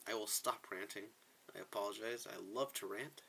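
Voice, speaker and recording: A man's voice: fairly low pitch, moderate pace, bright, forceful tone, slightly rough, low-quality recording. A man giving casual gameplay commentary as a video host, chatting to viewers in a rambling, self-correcting way.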